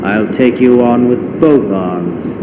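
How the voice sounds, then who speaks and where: A man acts out an arrogant, embittered video game villain. He speaks slowly, with scornful menace, in a booming echo over clear background noise. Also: lightly acted. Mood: menacing. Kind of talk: dramatic video game voice acting. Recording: noisy, echoing game audio with long reverb.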